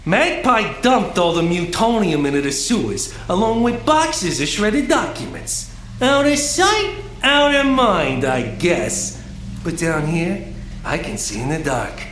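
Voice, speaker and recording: A man voicing a small, wry, eccentric male sewer mutant in an animated production. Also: sits high, echoey room, slight background noise, heavily acted. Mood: wry, knowing. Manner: deliberate, wry.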